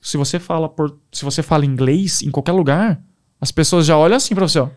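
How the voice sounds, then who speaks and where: A young man with a Brazilian Portuguese accent, a podcast guest telling stories in a casual, animated way. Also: on the high side, brisk. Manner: animated, enthusiastic storyteller. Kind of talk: casual storytelling.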